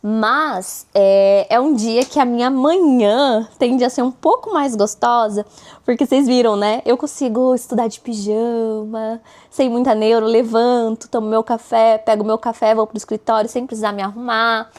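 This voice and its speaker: A hoarse woman vlogging in a casual chat, talking straight to her viewers and often tagging her sentences with little questions. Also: fairly high pitch, steady tone, moderate pace, darkish tone. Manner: chatty, addresses viewers directly with frequent tag questions.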